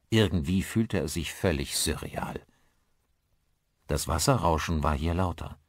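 Deep-voiced man narrating, very lively intonation. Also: no room sound, clean studio sound. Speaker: audiobook narrator. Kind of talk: scripted narration of a crime horror story.

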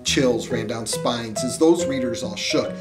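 A middle-aged American man reading a children's story aloud in an animated storyteller's style. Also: mid-range voice, measured pace. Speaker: school communications director reading aloud. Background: music.

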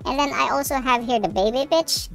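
A man using a helium pitch-shift voice changer to sound like a chipmunk while casually demonstrating software. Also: brisk, vocal fry, faint music behind. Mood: matter-of-fact.

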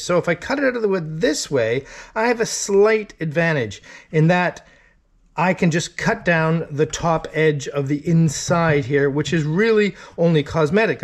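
Methodical middle-aged shipwright, fairly high voice. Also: male voice. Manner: thinking aloud, methodical and explanatory. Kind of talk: workshop how-to narration. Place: wooden-walled boat shop, indoors.

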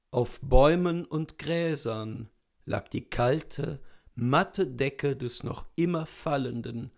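Man in his thirties, slow literary reading, gravelly voice, home room. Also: fairly high pitch, noisy background. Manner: slow, measured narration. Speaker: reader.

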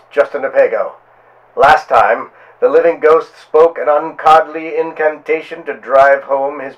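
A man hosting and narrating a pulp horror radio tale in a melodramatic, drawn-out manner. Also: fairly low pitch, fairly bright tone, muffled recording. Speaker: host and narrator.

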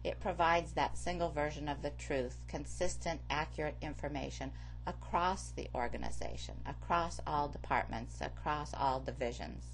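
A woman instructor giving a measured, explanatory lecture over slides. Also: low-pitched, poor audio. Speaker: course instructor. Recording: faint background hum.

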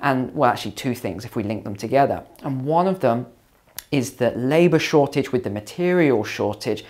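A man in his thirties, a little hoarse and raspy, explaining finance points to camera in an earnest, emphatic way on a lapel mic at home. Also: medium pitch, animated, moderate pace, darkish tone. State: slightly hoarse from hours of calls. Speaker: finance commentator. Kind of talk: direct-to-camera talk. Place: indoor home hallway.

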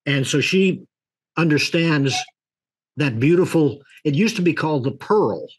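Older man, host, husky, rambling folksy delivery, video call. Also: on the high side, lively intonation, measured pace. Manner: rambling, folksy, with many restarts and fillers. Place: office with a leather chair.

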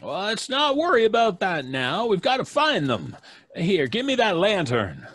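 A middle-aged man playing a gruff, determined small-town doctor in an old-time radio horror play, with dramatic pauses. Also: sits high, wildly swooping pitch, fairly bright tone, dry recording, clean studio sound, exaggerated voice. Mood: urgent, gruff. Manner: urgent, commanding, dramatic pauses.

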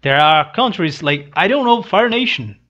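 A young man who is not a native English speaker, giving casual reaction commentary in a halting, hesitant way into a headset mic. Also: high voice, animated, sharp tone. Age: young adult. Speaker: reaction channel host. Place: small room indoors. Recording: dull lo-fi headset audio.

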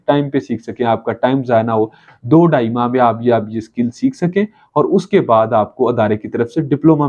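A man's voice: medium pitch, darkish tone. A man with a Pakistani accent speaks to camera as a college presenter, in a mid-range voice. He promotes in an explanatory way, restating his points. The audio is dull and lo-fi, recorded in a small office.

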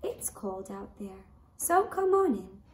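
A woman voicing a kind, hesitant little female mouse in a children's puppet story, slow and gentle. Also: mid-range voice, big pitch swings. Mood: reluctant, welcoming. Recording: roomy echo, faint background noise.

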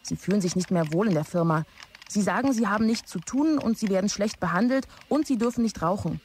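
An actress playing a dutiful office assistant in a German audio drama, giving plain, report-like messages. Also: female voice, mid-range voice, lively intonation, brisk, darkish tone, a little hiss or hum, lightly acted. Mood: matter-of-fact. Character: dutiful, matter-of-fact female office assistant.

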